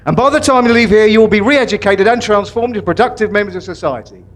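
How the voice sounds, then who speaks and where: A man playing a pompous, theatrical official in a live comedy, with a grandly drawn-out delivery. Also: high-pitched, lightly acted. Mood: grandiose. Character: pompous, theatrical male authority, judge and prison governor. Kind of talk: live comedy sketch acting.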